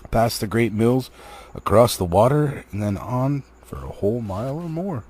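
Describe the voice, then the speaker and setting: A man's voice: medium pitch, a little mellow, husky. A man reading a fantasy novel aloud expressively, like an amateur audiobook, with the odd stumble. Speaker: amateur storybook reader.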